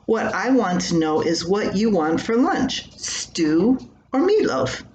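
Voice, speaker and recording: An older woman voicing a patient but exasperated farm wife, wry and gently chiding, in a homespun story. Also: mid-range voice, sing-song, slow pace. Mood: patient, coaxing. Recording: roomy echo with faint background noise.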